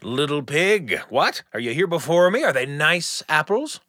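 A man's voice: a little high, big pitch swings, unhurried, pushed and bright, dry recording, voice pushed up, heavily acted. A sly, hungry wolf from a storybook, acted out by a man reading aloud. He coaxes in a wheedling, falsely friendly way, with a swooping voice in a dry, close recording.

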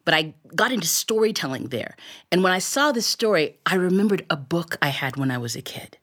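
A woman's voice: on the low side, animated, a little fast, a bit bright. An American woman telling a story live to an audience in a colorful, playful, theatrical way. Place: recorded live in a radio studio before an audience.

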